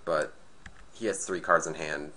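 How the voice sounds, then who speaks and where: A man with a slightly raspy, nasal voice from being sick, casually commentating a card game match and thinking aloud as he plays. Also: very deep voice, fairly even intonation, measured pace, bright, forceful tone, slight background noise. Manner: thinking aloud, hesitant restarts. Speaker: gameplay commentator.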